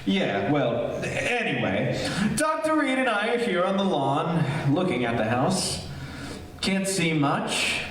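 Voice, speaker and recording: A man playing a jokey, skeptical broadcaster in an old-time radio drama, chatting in halting asides to his listeners. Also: on the high side, big pitch swings, a bit bright, full character voice. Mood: chatty, glib. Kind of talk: 1940s radio acting, live on-location commentary. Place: echoey hall, stage-style radio play performance. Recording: distant, very echoey room with faint noise.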